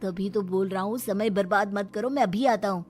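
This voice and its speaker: A woman's voice: medium pitch, fairly quick, darkish tone, faint music behind, voice pushed down, close to an everyday voice. A woman voicing stubborn, traditional village men in a firm, scolding melodramatic story style. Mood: dismissive.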